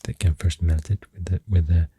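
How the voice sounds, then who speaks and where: A soft-spoken ASMR host with a Swedish accent, rambling gently and hesitantly in a hushed, whispery, breathy voice close to the microphone. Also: deep voice, steady tone, brisk, dry recording. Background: pencil writing and clicking sounds.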